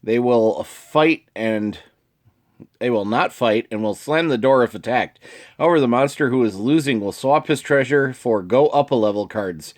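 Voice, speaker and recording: A man with a sniffly, allergy-affected voice hosts casually, reading card text aloud with informal asides and some throat-clearing. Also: mid-range voice, normal speed. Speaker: video host.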